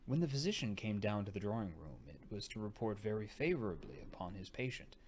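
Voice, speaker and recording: A man with a fairly low voice reads a gothic tale aloud. His delivery is unhurried and theatrical, dipping toward a breathy hush. Some noise sits behind him. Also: sing-song. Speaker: audiobook reader. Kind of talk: expressive narration of a gothic novel. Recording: noticeable background noise.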